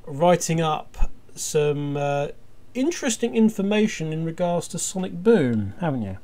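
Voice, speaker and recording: Slow, lively, higher-voiced man hosting. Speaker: podcast host. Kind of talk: casual pre-show chat.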